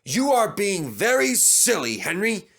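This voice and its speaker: A man's voice: sits high, sing-song, a little slow, gravelly, a bit bright, pitched above the performer's own voice, exaggerated voice. A man voicing a stout, pompous railway director in a storybook, commanding and severe.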